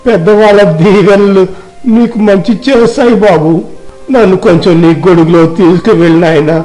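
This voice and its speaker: A high, mellow voice of an old beggar acted by a man, humble and pleading in a moral tale. He sounds frail and needy, with music playing underneath. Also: steady tone, normal speed, natural voice, poor audio. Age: older. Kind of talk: dramatized moral story. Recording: music behind the voice.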